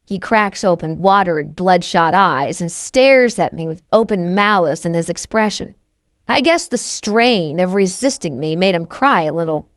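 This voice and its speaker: A woman's voice: fairly low pitch, expressive, measured pace, dry recording, studio quality. A woman reading first-person scripted novel narration as an audiobook narrator.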